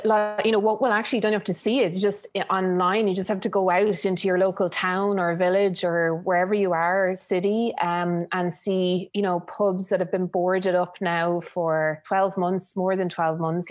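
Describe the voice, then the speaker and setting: A woman's voice: on the low side, dry recording. Chatty Irish woman, dry close sound. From Ireland. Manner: chatty, with many fillers. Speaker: podcast co-host, food journalist. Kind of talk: casual podcast chat.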